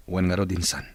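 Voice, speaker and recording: A weary, suspicious boss with a deep, rough-edged voice and an Ilocano Filipino accent. It is a dry radio drama recording, stubborn and guarded in tone. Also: male voice, a bit bright, no room sound, full character voice.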